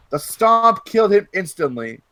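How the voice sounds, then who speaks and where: A man's voice: sits high, very animated, unhurried, pushed and bright. A man hosting a mocking read-aloud of fan fiction, repeating lines emphatically and dropping in joking asides.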